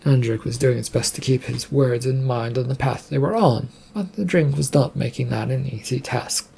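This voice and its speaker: Husky, mellow man narrating a story. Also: mid-range voice. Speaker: narrator reading own serial story.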